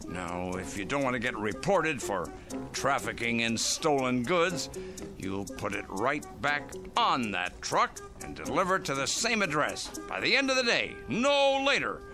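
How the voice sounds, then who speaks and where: A man's voice: medium pitch, very animated, measured pace, music in the background. An actor playing a stubborn older man in a vintage radio drama, commanding and indignant. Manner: indignant, commanding, then overjoyed shouting. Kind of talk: 1950s radio drama acting.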